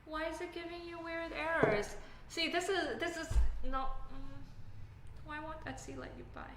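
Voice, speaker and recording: A woman's voice: sits high, normal speed, heavy background noise. A woman from Canada with a Canadian accent, chatting casually on a livestream, full of filler words and tangents. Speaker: livestream host, software engineer. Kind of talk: casual livestream chat reading comments. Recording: echoey room, faint background ambience.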